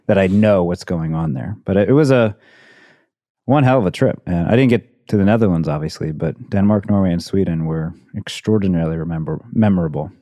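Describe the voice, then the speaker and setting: Guy in his 30s, low mellow voice, thinks out loud, pauses a lot. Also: dry recording, clean studio sound. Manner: thoughtful, hesitant, searching for words. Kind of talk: casual conversation.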